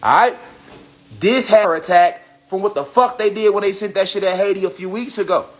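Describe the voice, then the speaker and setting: Young male spiritual commentator, slightly high voice, loose profane preachy rant. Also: normal speed. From United States. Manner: profane, preachy, emphatic repetition. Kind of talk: casual rant to camera.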